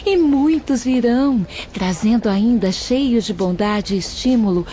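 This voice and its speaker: An actress playing a devout, affectionate young female spirit in an audio drama, speaking gently. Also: on the high side, measured pace, muffled recording, slight background noise, close to an everyday voice. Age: young adult.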